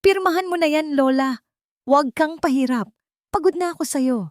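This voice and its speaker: A woman's voice: sits high, normal speed, no echo, clean studio sound, voice pushed up, close to an everyday voice. Woman voicing a pushy, scheming daughter-in-law. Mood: furious. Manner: insistent, wheedling, sharp. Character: scheming, pushy daughter-in-law, sweet-talking then viciously angry.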